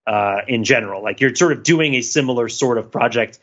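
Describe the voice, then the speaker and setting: A man's voice: mid-range voice, fairly quick, fairly bright tone. A man co-hosting a podcast, thinking aloud in a casual analytical chat, full of fillers and restarts. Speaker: podcast co-host. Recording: dull lo-fi podcast audio.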